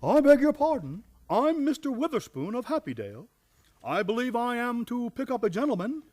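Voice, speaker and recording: A man's voice: very high voice, big pitch swings, moderate pace, a little mellow, soft background music, heavily acted. A man acting a fussy, polite sanitarium official, formal and fluttery, in a radio play comedy.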